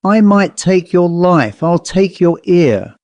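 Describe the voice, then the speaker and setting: A man voicing a stern, menacing male ghost with a deep voice who speaks slowly and threateningly. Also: lively intonation, darkish tone, no echo, lo-fi sound.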